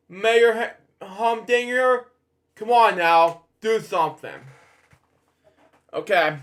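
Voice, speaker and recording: A man with a fairly high voice reads a children's story aloud at a slow pace. He stumbles and halts over phrases, drifting into casual, rambling asides as he hosts. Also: a bit bright. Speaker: host reading bedtime stories.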